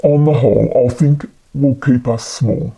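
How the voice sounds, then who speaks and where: A woman voicing a calm, commanding male magician in a storybook, speaking in a deliberate, reassuring way. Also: rumbling low, vocal fry, husky, voice pushed down, heavily acted, rough recording. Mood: thoughtful.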